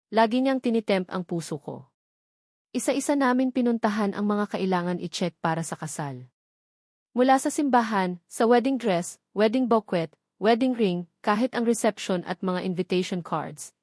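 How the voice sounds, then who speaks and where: A woman's voice: mid-range voice, darkish tone, no room sound, studio quality. A woman reading a scripted first-person romance novel as an audiobook narrator.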